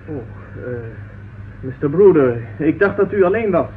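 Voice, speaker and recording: Man acting flustered lord, high voice, stammering, evasive, thin old radio recording. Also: expressive, moderate pace, natural voice. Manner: stammering, apologetic. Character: flustered, evasive, awkward male English lord. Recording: noisy, tinny radio sound.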